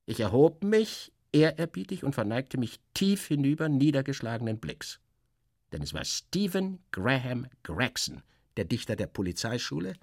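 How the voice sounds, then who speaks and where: A man giving a theatrical first-person reading of a literary satire, wry and animated, with dramatic pauses. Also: medium pitch, no echo, clean studio sound.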